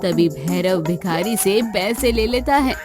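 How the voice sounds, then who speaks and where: A woman's voice: medium pitch, animated, a little slow, music in the background. A woman narrating a scripted children's story.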